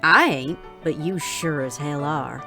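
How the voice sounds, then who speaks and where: A woman's voice: low-pitched, unhurried, over music. A woman playing a tough, blunt saloon keeper with an American accent and a drawl in a Western audio drama. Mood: blunt, needling. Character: tough, blunt, fiercely loyal female Wild West saloon keeper.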